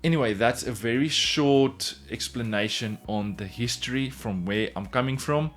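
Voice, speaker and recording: A man's voice: mid-range voice, moderate pace, fairly bright tone. A man whose first language is Afrikaans, speaking English with an Afrikaans accent, earnestly telling his own story straight to the camera in a casual vlog. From South Africa. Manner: earnest, repeats "in all honesty". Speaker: woodworking YouTuber.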